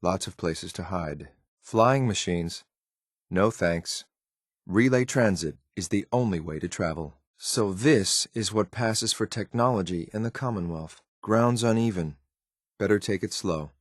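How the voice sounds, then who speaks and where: A man's voice: deep voice, unhurried, dry recording, studio quality. A man voicing a stern, dutiful synth soldier companion in a video game, speaking in a clipped, matter-of-fact military way with some disdain. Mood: dry, unimpressed.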